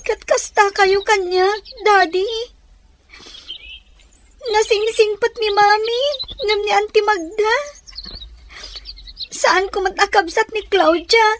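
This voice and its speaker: A young Filipino daughter in a radio drama. Her voice sits very high and carries a plaintive, pleading emotion throughout. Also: fairly even intonation, slow, pauses often, slight background noise. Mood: pleading, aggrieved.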